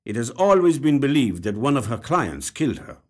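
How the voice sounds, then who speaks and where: A man voicing a weary, grave police detective with deliberate, heavy pauses in a short story reading. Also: mid-range voice, big pitch swings, pitched above the performer's own voice. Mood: matter-of-fact, ominous. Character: weary, grave Spanish-speaking male police detective of cold cases.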